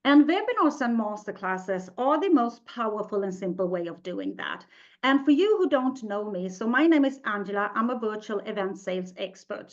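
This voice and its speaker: A woman's voice: a little high, muffled recording. A woman from Sweden with a Swedish accent, hosting a live webinar in English, her second language, in a chatty, upbeat way and reading out the live chat. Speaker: webinar host.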